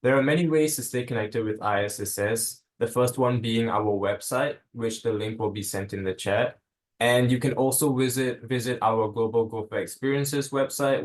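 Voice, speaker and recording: A young man from Singapore, a student leader giving an upbeat, encouraging presentation from notes. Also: lowish voice. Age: young adult. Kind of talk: scripted presentation on a video call.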